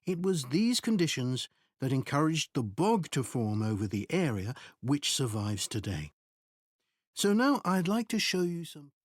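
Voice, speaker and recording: A man giving a scripted academic presentation in a measured, explanatory way. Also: on the high side, sing-song, no room sound, clean studio sound. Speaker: presenter in a recorded exam listening test.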